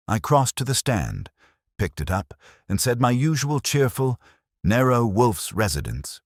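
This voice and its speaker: A man's voice: mid-range voice, big pitch swings, unhurried, dry recording, studio quality. A man reading a first-person hardboiled detective story with a wry, wisecracking delivery. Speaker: narrator.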